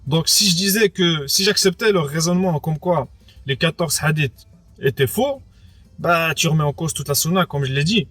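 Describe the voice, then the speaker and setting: A young man raised in France with Moroccan roots tells his own story in a relaxed, halting way. His voice sits a little high for a man, and he keeps restarting phrases and tacking on fillers. Soft music runs quietly under his talk. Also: no room sound. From France, of Moroccan background. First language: Arabic speaker as well. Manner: hesitant, repeats words, many fillers like "entre guillemets" and "quoi". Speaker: interviewee. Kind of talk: personal testimony, casual spoken.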